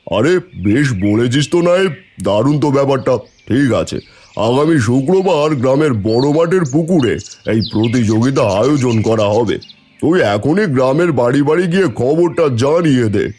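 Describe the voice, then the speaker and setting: A man's voice: medium pitch, expressive, moderate pace, darkish tone, slight background noise, close to an everyday voice. A man voicing a bored, eager village landlord in a cartoon, lordly and familiar with his underling. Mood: pleased, decisive. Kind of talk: Bengali cartoon story acting.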